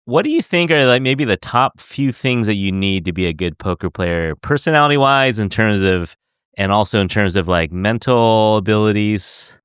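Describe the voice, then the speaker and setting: A man's voice: on the low side, lively intonation, moderate pace, a bit bright, smooth, no echo, clean studio sound. A man hosting a podcast interview, chatty and giggly, asking long rambling questions. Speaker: podcast host. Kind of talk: casual interview.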